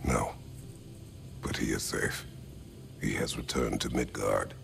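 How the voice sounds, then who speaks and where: Stern warrior god from a game, super low growly voice, few words, noisy ambience behind. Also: male voice, a bit bright, heavily acted. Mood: grave, restrained. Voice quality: gravelly. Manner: terse, grave, deliberate. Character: stern, terse, brooding male warrior god. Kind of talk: video game character acting.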